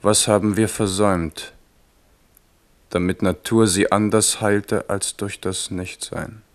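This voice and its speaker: Remorseful king, radio drama, deep register, slow pace, grave, measured, brooding. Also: flat delivery. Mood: remorseful grief. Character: grieving, remorseful middle-aged French king. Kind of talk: German radio drama acting.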